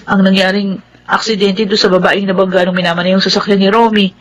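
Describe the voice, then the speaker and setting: A woman's voice: medium pitch, little pitch movement, fast, lightly acted, poor audio. A woman with a Filipino accent playing an anxious, suspicious young woman in an emotive radio drama style. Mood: uneasy, insistent.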